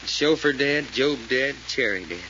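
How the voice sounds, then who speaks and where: An actor playing a blunt, excitable, folksy detective sidekick who stammers with curiosity in an old-time radio drama. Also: male voice, medium pitch, normal speed. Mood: weary, puzzled. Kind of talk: 1940s radio drama acting. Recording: muffled old radio recording, faint noise.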